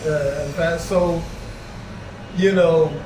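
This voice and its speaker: A middle-aged man from the United States, chatting casually as an interview guest, animated and full of fillers. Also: a little high, slow pace. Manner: animated storyteller, repeats fillers like "like". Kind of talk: casual chat. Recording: echoey room with background noise.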